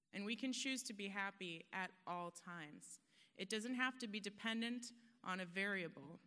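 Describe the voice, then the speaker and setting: A woman's voice: mid-range voice, sharp tone, smooth. A woman giving an earnest, emphatic motivational talk on a conference stage, now and then laughing at herself. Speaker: conference speaker. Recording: long hall reverb.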